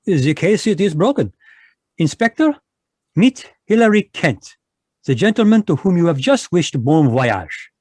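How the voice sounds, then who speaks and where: A man's voice: a little high, big pitch swings, unhurried, warm tone, husky, dry recording, heavily acted. A man voicing a smug Belgian detective with a put-on French accent in an old-time radio play, pompous and polite. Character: fussy middle-aged Belgian male detective, smug. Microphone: video call microphone.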